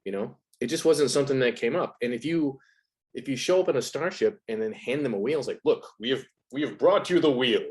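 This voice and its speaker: A man's voice: medium pitch, fairly quick. A man talking as an interview guest, explaining ideas in a casual, conversational way.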